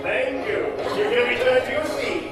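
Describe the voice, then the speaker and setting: A man's voice: very high voice, normal speed. A man hosting a live costume contest on stage, bantering with the audience like a jovial showman. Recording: echoey hall from far off, music and crowd. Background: music, audience noise.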